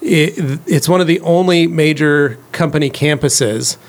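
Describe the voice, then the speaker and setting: A man's voice: on the high side, measured pace. An American man co-hosting a podcast, chatting casually and explaining things to listeners. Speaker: podcast co-host. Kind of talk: casual chat, explanatory asides.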